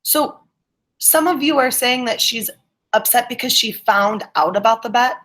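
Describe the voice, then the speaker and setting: A woman with a fairly high voice teaches a school class online. She talks to students directly, encourages them, and keeps checking that they follow with short questions. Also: moderate pace, a bit bright. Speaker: middle school English teacher.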